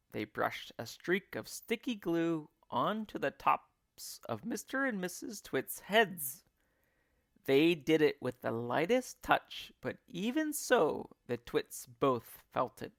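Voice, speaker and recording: A man reading a children's story aloud as a teacher, in a measured, expressive narrating style. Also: a little high, no room sound, clean studio sound.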